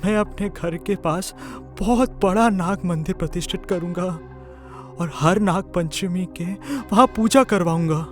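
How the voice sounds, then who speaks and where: A man playing a humble, remorseful village father in a cartoon, speaking in a halting, pleading way. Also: high voice, soft background music. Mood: grateful. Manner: halting, pleading, repeats words. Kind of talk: Hindi moral-story cartoon acting.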